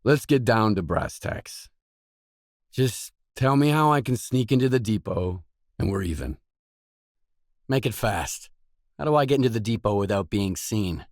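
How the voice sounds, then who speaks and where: An actor voicing a gruff, guarded stalker mercenary in a video game, blunt, terse and no-nonsense. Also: male voice, on the low side, animated, a little slow, pauses often, no room sound, clean studio sound. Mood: terse, businesslike. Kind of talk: video game dialogue lines.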